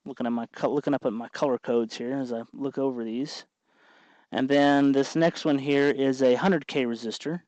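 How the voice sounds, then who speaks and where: A man hosting an informal electronics tutorial, explaining things off the cuff in a halting way as he thinks aloud. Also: medium pitch, steady tone, a little mellow, dry recording, lo-fi sound. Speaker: host of an electronics tutorial show. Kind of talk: unscripted hands-on tutorial narration.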